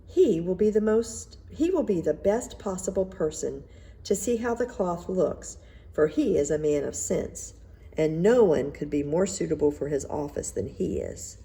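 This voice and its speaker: A woman's voice: lowish voice, sing-song, unhurried, vocal fry, warm tone, exaggerated voice. A woman reading the part of a vain, fretful emperor in a storybook tale. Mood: decided. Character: vain, fretful male emperor fond of finery.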